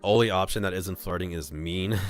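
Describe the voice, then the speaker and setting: A man streaming and reading a visual novel aloud, playful and thinking out loud about his choices. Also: low-pitched, vocal fry.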